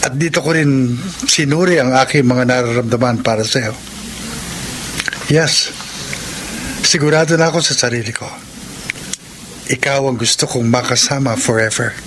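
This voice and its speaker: Guy playing young filipino lover boy in a radio drama, earnest, medium pitch, noisy background. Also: moderate pace, long pauses, close to an everyday voice. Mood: ardent, pleading. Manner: earnest, romantic, mixes English into speech. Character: earnest young scriptwriter in love with an older woman.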